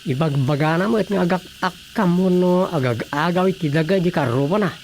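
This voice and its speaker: A man's voice: sits high, a little fast, slight background noise. A man with a Filipino accent playing a disapproving, grumbling village councillor in a radio comedy drama.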